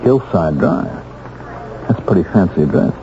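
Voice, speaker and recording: A determined, polite wronged man in a seventies radio drama. He is earnest and probing, with lively movement in a lowish voice. The sound is dull, with a crowd behind. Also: moderate pace. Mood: thoughtful. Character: determined, polite wronged ex-convict investigating his framing. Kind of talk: 1970s radio drama acting.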